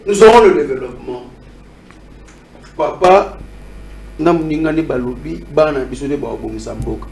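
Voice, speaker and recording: A man's voice: a little high, normal speed, vocal fry, pauses often, darkish tone, slight background noise, low-quality recording. A Congolese man with a Congolese accent, talking animatedly in a debate and teasing as he mixes French with Lingala. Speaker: debate participant. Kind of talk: lively debate talk.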